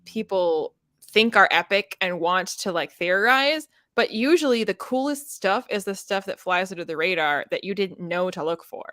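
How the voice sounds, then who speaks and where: Female book-chat host, mid pitch, dry close sound, casual and sweary, says "like" a lot and voices imagined reactions. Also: animated, normal speed, bright, forceful tone, no echo. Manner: frequent "like", sweary, acts out imagined reactions in quotes. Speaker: host of a book readalong discussion. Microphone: close microphone on a live video chat.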